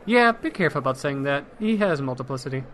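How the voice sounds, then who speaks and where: A man's voice: fairly high pitch, expressive, fast, a bit bright, a little hiss or hum. A man playing a wry, matter-of-fact town mage in a fantasy comedy audio drama, with dry explanatory banter.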